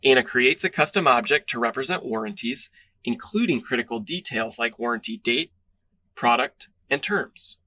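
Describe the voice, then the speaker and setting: A man's voice: mid-range voice, expressive, normal speed, sharp tone, no echo. A man reading a scripted product demo narration in a polished, instructional way.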